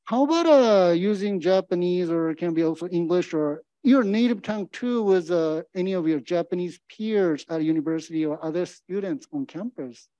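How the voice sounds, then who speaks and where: A middle-aged man leads a panel, asking friendly questions with lots of "uh" and "you know." His voice is mellow, and the handheld mic gives a dull, dry sound. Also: sits high, moderate pace, hardly any pauses, no echo. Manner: encouraging, many fillers and back-channel murmurs. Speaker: moderator. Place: indoor meeting room.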